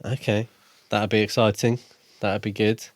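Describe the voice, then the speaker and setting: A man with a fairly low voice speaks in a dry, close recording. He co-hosts a book podcast in a casual, conversational style, qualifying his opinions gently as he goes. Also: little pitch movement, moderate pace, no room sound. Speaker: podcast co-host.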